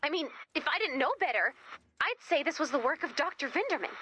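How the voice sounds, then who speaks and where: A woman playing an eager, curious young researcher who guides players in a video game, chatty and expressive as she thinks aloud. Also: high voice, quick pace. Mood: curious, concerned. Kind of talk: scripted video game dialogue.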